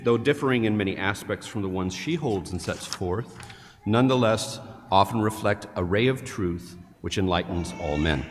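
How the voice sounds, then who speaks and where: An older man, a deacon, delivering a measured homily read from a prepared text at a lectern in a large cathedral. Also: fairly low pitch, a little hiss or hum. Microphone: headset mic, standing at a lectern. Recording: long reverb of a large church.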